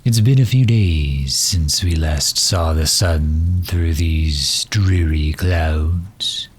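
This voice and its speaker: A man's voice: very deep voice, very slow, faint music behind, exaggerated voice. A man playing a genteel, erudite villain in an ASMR roleplay, speaking formally and precisely with polite condescension, a courteous and misunderstood sort. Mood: gentle, curious.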